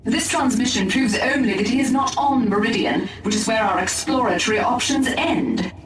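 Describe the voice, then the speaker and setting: A woman's voice: a little high, expressive, moderate pace, noisy background, natural voice. An actress playing a disdainful female elf warrior in a video game, speaking in a clipped, imperious, formal way. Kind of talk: scripted video game acting. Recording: echoey, distant, music behind.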